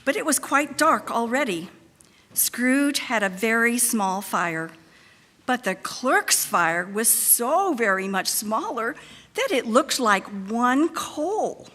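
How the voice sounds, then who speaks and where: A woman giving a deliberate, expressive theatrical narration of a story. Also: a little high. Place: large church interior. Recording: reverberant hall.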